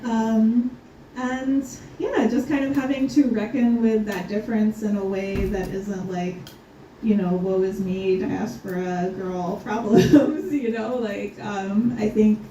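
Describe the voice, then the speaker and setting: A woman speaking thoughtfully on a panel, pausing often with ums and light laughs. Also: medium pitch, warm tone, slight background noise. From United States. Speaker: scholar guest. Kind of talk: panel conversation. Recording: roomy, distant, slightly dull.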